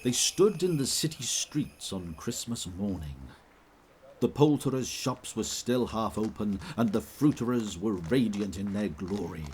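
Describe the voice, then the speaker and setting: A man narrating an audio drama in measured, vivid storytelling. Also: on the low side, lively intonation, a little mellow, a little hiss or hum.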